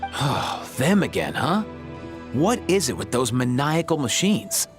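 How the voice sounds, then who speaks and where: A man's voice: mid-range voice, sing-song, unhurried. A man voicing a laid-back, weary young animal doctor in a video game, casual, hesitant and dryly wry. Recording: music behind the voice.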